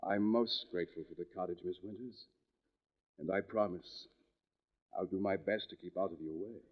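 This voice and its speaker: An actor playing a courteous, inquisitive and intense doctor in 1940s radio drama style. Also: male voice, low voice, normal speed. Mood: grateful. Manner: formal, inquisitive, earnest. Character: courteous, intense male doctor writing about criminal minds. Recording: dull vintage 1940s radio recording.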